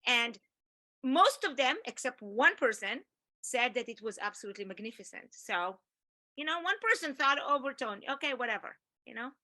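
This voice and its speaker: Female singer on a call, bright and fairly high tone, easygoing storytelling. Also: expressive, normal speed. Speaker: singer and speaker on a training call. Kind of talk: sharing an experience in group chat.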